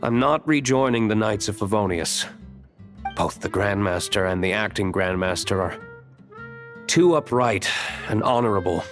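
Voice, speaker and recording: Actor doing a stern young nobleman for a game; low, clipped, serious, never warm. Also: soft background music, lightly acted. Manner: curt, serious, measured. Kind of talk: video game voice acting.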